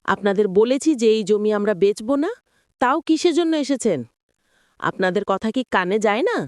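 A woman's voice: mid-range voice, expressive, moderate pace, no room sound, clean studio sound, natural voice. A woman voicing a defiant village farm woman in a cartoon, scolding and firm as she guards her land. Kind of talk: cartoon voice acting.

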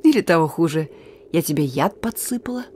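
Plucky, lowish-voiced young courier woman. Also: lively intonation, fairly quick, dark tone, slight background noise. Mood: exasperated, teasing. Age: young adult. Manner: familiar, teasing. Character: young female partisan courier, plucky, teasing, warm-hearted. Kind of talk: radio drama acting.

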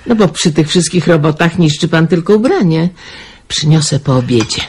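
A woman playing a chatty, motherly middle-aged lady of a remote house, gently teasing her lodger, in a radio drama. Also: deep voice, expressive, normal speed. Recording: faint background noise.

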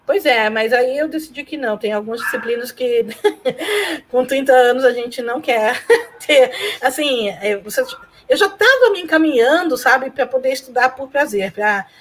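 A talkative woman from Argentina, Spanish her first language, with a fairly high voice. She rambles warmly through memories, laughing often and checking in with little tags. Recorded over a video call from a room at home. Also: animated, normal speed. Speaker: guest, professor and blogger. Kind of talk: casual interview chat.

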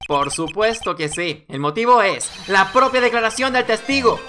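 A man's voice: sits high, normal speed, bright, forceful tone, faint music behind, pitched above the performer's own voice. A man voicing an earnest young defense lawyer in a video game, hesitant but insistent. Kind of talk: video game courtroom dialogue dubbing.

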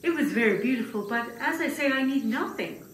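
A woman voicing a gentle, humble old male grass cutter in a puppet show, speaking hesitantly and getting easily flustered. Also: fairly high pitch, lively intonation. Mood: earnest. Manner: hesitant, halting, with many pauses. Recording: roomy, distant mic, faint noise.

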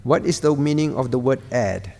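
A man's voice: mid-range voice, lively intonation, normal speed, creaky, mellow. A middle-aged man preaching like a teacher, emphatic, repeating key words and putting questions straight to his listeners. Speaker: pastor teaching a prayer meeting. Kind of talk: sermon delivered as a lesson, with questions to listeners. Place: meeting room with a projection screen, indoors. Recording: reverberant hall recording.

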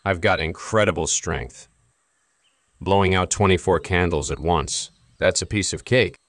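A man's voice: low-pitched, unhurried, a bit bright, no echo, clean studio sound. A man voicing a strong, confident young hero in a cartoon fairy tale.

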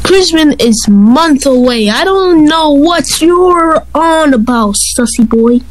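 Slow, high-pitched arrogant gym bully acted by a woman. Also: expressive, low-quality recording. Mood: mocking, boastful. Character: arrogant buff male gym bully, mocking and childish. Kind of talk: amateur animated skit acting.

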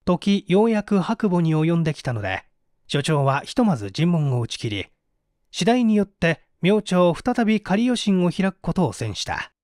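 A man narrating a detective story as an audiobook, with theatrical, deliberate phrasing. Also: high voice, big pitch swings, darkish tone, dry recording, studio quality. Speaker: audiobook narrator, stage actor.

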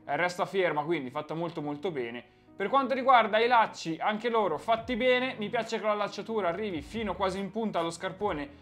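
Young guy, pretty high voice, keen gear review, bit of music behind. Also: a bit bright. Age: young adult. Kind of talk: product review to camera. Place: indoor room at a desk. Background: background music.

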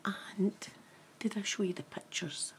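Whispering Glaswegian woman, gravelly. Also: mid-range voice, unhurried. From Scotland. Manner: soft whisper, chatty and rambling. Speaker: ASMR creator. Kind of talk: whispered ASMR makeup role-play with rambling chat. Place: small room at home, indoors. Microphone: camera close to the face.

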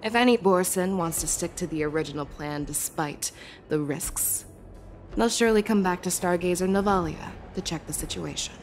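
Woman as steady, lower-voiced game general, tactical, quietly authoritative. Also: slight background noise, lightly acted. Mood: commanding. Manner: measured, lecturing, hushed at times. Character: commanding female general, calm sharp strategist. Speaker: military general. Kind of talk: game cutscene voice acting.

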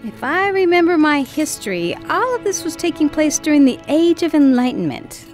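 A woman's voice: sits high, very animated, moderate pace, darkish tone, faint music behind. A middle-aged woman acting a kindly, wry mother in a family audio drama. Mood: wry, warm.

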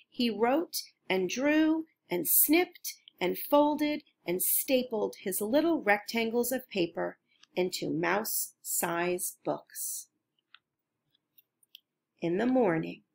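A woman reads a children's picture book aloud at a slow, unhurried pace. Her pitch sits in the middle range for a woman. She tells the story carefully and expressively, as for young listeners. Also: gravelly. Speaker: storybook reader.

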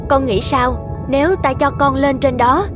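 A woman voicing a gracious, motherly sea goddess in a cartoon, with a stately, measured manner. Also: high voice. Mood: caring, solemn. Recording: loud music under the voice.

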